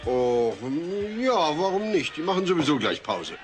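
Flustered, animated family father. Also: on the high side, normal speed, music in the background. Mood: good-natured. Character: mild, flustered family father, middle-aged. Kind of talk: German comedy radio play acting.

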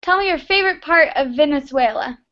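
Young texan woman teaching english on a webcam call, high voice, slow and clear, muffled call audio. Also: expressive. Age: young adult. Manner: encouraging, repeats student answers, laughs often. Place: bedroom at home. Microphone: laptop webcam on video call. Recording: dull lo-fi video call audio.

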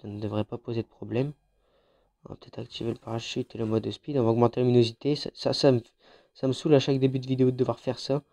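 A congested gamer with a French accent and a mellow, dark-toned voice talks his way through a mobile shooter match. His delivery is chatty and loose, with frequent fillers and restarts, the voice thick from a cold. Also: mid-range voice, fairly even intonation, quick pace, vocal fry. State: has a head cold, congested. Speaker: gaming YouTuber. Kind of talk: casual mobile game commentary.

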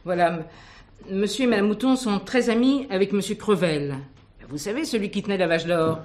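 A woman's voice: mid-range voice, brisk, a little mellow, natural voice. A woman playing a flustered, chatty elderly widowed concierge in a detective radio play, rambling, hesitant and deferential.

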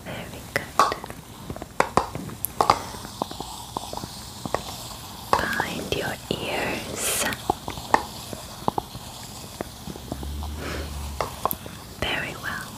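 A young woman plays a calm alien examiner in an ASMR roleplay. The voice is a soft whisper, delivered extremely slowly and deliberately. The wording is formal and clinical, with a soothing, reassuring manner, right up close to the mic. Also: lightly acted, low-quality recording. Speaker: ASMR performer. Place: small bedroom, indoors. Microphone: close microphone.

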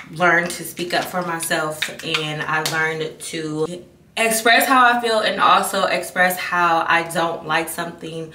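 A woman's voice: lowish voice, bright, forceful tone. A young woman in her twenties talking candidly to the camera as a beauty vlogger, rambling and restarting her sentences. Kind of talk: casual chat to camera. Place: bathroom at home, indoors. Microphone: camera mic at a distance. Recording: echoey bathroom, distant mic, background noise.

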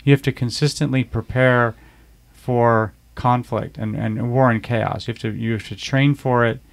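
A man's voice: mid-range voice, steady tone, moderate pace, creaky. A man co-hosting a casual podcast about a martial arts school, agreeable and earnest, building long explanations. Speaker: aikido school co-host.

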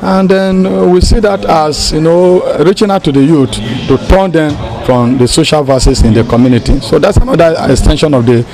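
A man's voice: a little high, normal speed, hardly any pauses, crowd noise, rough recording. A middle-aged man with a Nigerian accent, a pastor explaining things in an interview with frequent hesitations. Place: outdoors beside a building. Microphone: handheld interview microphone.

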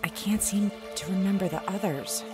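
A woman acting in an audio drama as a gentle scout archer, halting as she searches hazy memories. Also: mid-range voice, over music, lightly acted. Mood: searching memory.

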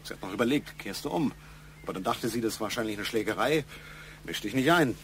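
An actor playing an insistent investigator in a German radio crime drama, probing and emphatic. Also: male voice, fairly low pitch, expressive, quick pace, fairly bright tone, a little hiss or hum. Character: insistent male investigator pressing a witness hard.

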